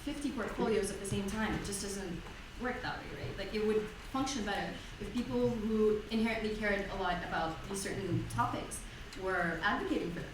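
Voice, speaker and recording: A young woman from Egypt answers as a student candidate, chatty and loose. She sounds far from the mic in a booming lecture hall with a steady background hum. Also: a little fast, hardly any pauses. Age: young adult. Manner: conversational, tag questions. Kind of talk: debate answer.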